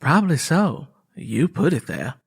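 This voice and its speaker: Man acting devout country young woman, high-pitched. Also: sing-song, unhurried, gravelly, husky, full character voice. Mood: firm. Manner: folksy rural vernacular. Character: devout young pregnant rural woman, worried, gently scolding. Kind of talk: audiobook character dialogue.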